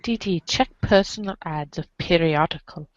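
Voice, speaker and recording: A woman's voice: fairly low pitch, normal speed, no echo, highs cut off. A woman reading a comic story aloud on a group podcast. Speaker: podcast reader. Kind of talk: dramatic reading of a webcomic.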